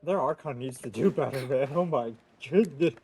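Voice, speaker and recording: A man's voice: mid-range voice, normal speed, a little mellow, slightly rough, soft background music. A young adult man giving casual live playthrough commentary as a streamer, reacting with muttered exclamations. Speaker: game streamer.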